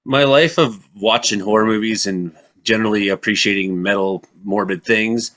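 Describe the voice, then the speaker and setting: Bright, low-pitched middle-aged male host. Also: moderate pace. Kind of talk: casual radio chat.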